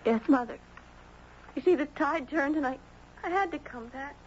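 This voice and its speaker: A woman's voice: sits high, moderate pace, close to an everyday voice. A woman playing a fey young girl with a dreamy, cryptic, simply worded manner in a muffled, noisy 1970s radio drama. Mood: dazed, faint. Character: fey, confident young girl with an uncanny gift.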